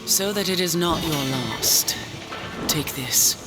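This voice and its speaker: A man's voice: a little high, lively intonation, takes it slowly. A man playing a weary, solemn angel warrior of a fallen order in a video game cutscene, speaking formally and portentously. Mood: stern. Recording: loud music under the voice.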